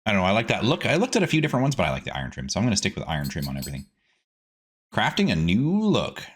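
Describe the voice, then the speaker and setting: Low-voiced man with very animated, swooping pitch. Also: rapid-fire, slightly rough. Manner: playful, talks to himself, sprinkles silly words and sing-song asides. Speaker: gaming host. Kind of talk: casual game commentary, let's play.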